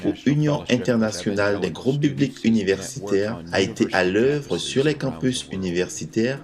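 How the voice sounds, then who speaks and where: A man reading a scripted voice-over narration in a measured, steady way. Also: on the low side, a crowd in the background. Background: music.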